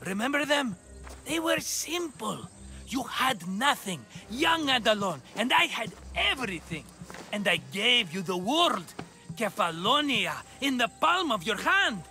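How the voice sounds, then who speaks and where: High-voiced, wheedling schemer. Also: male voice, very animated, measured pace, bright, forceful tone, faint music behind, exaggerated voice. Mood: wistful, persuasive. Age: middle-aged. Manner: ingratiating, theatrical, glib. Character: wheedling, theatrical middle-aged Greek schemer, slippery and cheerful. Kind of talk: video game cutscene acting.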